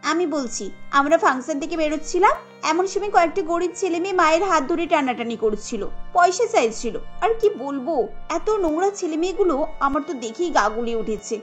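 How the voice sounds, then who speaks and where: Woman as a high-pitched spoiled rich daughter. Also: lively intonation, a little fast. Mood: disgusted. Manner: disdainful, whiny complaining. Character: spoiled, snobbish young daughter of a rich family. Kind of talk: cartoon dialogue acting. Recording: light music under the voice.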